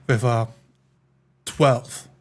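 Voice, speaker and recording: A man's voice: mid-range voice, fairly even intonation, drawn-out words. A man playing a tabletop game, describing his character's actions in the third person.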